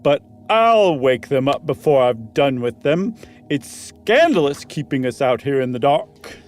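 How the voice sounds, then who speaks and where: A man voicing a pompous, grandly theatrical gentleman in an exaggerated, drawn-out reading of a comic ghost story. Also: mid-range voice, sing-song, faint music behind. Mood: indignant, imperious.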